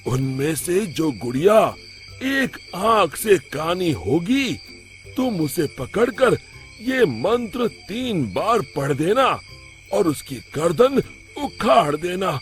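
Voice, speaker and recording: A man voicing a stern, protective hermit sage in a cartoon moral story, commanding and deliberate. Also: sits high, big pitch swings, faint music behind, pitched above the performer's own voice, heavily acted. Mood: solemn, instructing.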